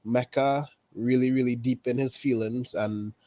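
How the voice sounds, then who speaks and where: A man from Trinidad with a Trinidadian accent, chatting casually about a TV episode, unhurried and repeating his phrases. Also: mid-range voice, fairly even intonation, darkish tone, dry recording. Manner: unhurried, repeats phrases, says "really and truly". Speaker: caller.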